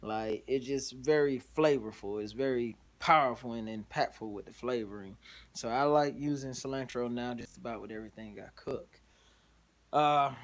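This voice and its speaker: A man, likely in his thirties, casually talking through a home cooking vlog in a laid-back, rambling way, filmed on a phone held at arm's length in a small kitchen. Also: medium pitch. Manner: laid-back, rambling, lots of "you know what I'm saying". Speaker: home cook vlogger. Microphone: phone camera held at arm's length.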